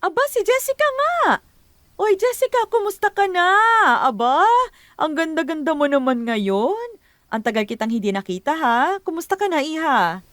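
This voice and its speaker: A woman's voice: high-pitched, sing-song, normal speed, no echo, clean studio sound. An actress playing a doting, talkative middle-aged mother in a radio drama, with an effusive, gushing way of talking. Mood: delighted.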